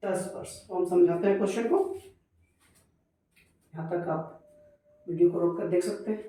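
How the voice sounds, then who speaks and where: A man's voice: sits high, fairly even intonation, moderate pace, mellow. A man teaching a maths class, working through a problem step by step and asking questions that he answers himself. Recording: echoey room, voice far from the mic.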